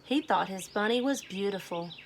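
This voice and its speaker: A woman reading a storybook aloud to children, speaking in a deliberate, simple way. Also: mid-range voice, animated, a little hiss or hum. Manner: deliberate, simple phrasing addressed to young children. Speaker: children's read-aloud host.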